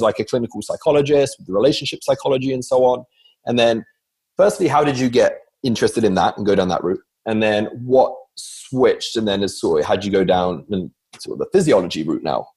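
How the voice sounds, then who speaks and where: Male podcast host, mid pitch, casual, rambling delivery. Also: poor audio. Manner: relaxed, rambling, many ums.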